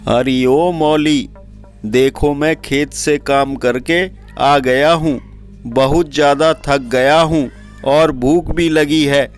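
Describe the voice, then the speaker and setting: A man's voice: a little high, fairly even intonation, slow pace, faint music behind. A man voicing a weary, complaining village farmer in a cartoon story, plaintive and burdened. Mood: tired. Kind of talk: Hindi cartoon story dialogue.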